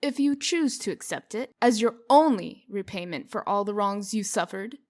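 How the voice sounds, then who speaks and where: A woman voicing a brisk, shrewd female official in a comic dub, firm, dry and sardonic in manner. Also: mid-range voice, animated, a little mellow, close to an everyday voice. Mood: stern, businesslike.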